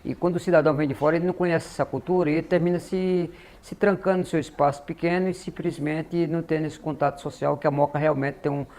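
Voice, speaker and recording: A man's voice: fairly high pitch, fairly even intonation, fast talker, few pauses, darkish tone. A man speaking in formal, rounded phrases in a documentary interview. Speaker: local interviewee.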